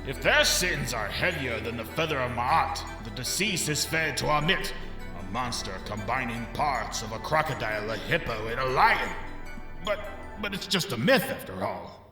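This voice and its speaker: A man's voice: medium pitch, animated, moderate pace, big-room sound, music in the background, lightly acted. Man playing a swooping, greedy museum businessman. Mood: nervous lecturing. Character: greedy, blustering male museum investor. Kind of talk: dramatic manga audio drama acting.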